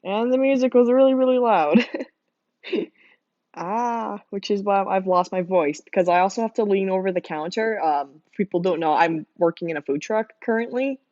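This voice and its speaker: A young woman with a hoarse, worn-out voice chatting casually with her stream viewers, laughing often and rambling with lots of fillers. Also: mid-range voice, moderate pace, a little mellow, no echo. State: hoarse, losing voice from screaming. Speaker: livestreamer drawing art. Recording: dull lo-fi dry mic sound.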